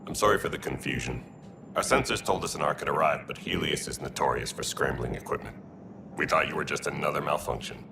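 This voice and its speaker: An actor playing a steady, gravel-voiced alien militia leader in a video game. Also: male voice, quick pace. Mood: grave, businesslike. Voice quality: deep, gravelly. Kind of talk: video game cutscene acting. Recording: distant, noisy ambience.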